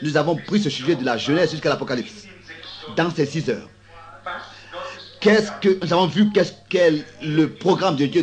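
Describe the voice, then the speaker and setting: Hoarse guy preaching in a school auditorium, halting, keeps restarting. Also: a little high. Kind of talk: sermon.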